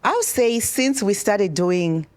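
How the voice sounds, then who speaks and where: A woman's voice: mid-range voice, lively intonation, slow. Encouraging woman from Zimbabwe. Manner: warm, encouraging, chatty with restarts. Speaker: spa owner and esthetician on a panel. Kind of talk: panel talk to students. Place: event room. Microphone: handheld microphone.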